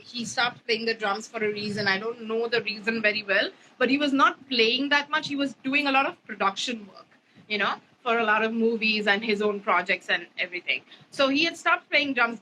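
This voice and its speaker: A woman in her twenties from India, a bassist talking casually in an interview, rambling with lots of fillers and "you know". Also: bright, forceful tone, slight background noise, poor audio. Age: 20s. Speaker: bassist guest.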